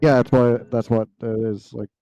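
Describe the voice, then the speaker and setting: A man's voice: medium pitch, fairly even intonation, moderate pace, warm tone, highs cut off, faint music behind. A man co-hosting a livestream, talking casually while reacting to a video. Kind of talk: casual chat over a reaction stream.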